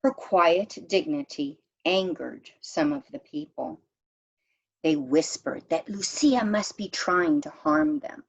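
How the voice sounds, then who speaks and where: A woman reading a children's picture book aloud in a measured, expressive storytime manner, as if to young listeners. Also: sits low, muffled recording. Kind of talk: read-aloud storytime narration.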